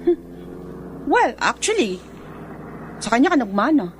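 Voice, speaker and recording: A woman acting in a Filipino radio drama as a jealous, sharp-tongued, taunting young girlfriend. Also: high voice, very animated, unhurried, a little hiss or hum. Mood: accusing, taunting.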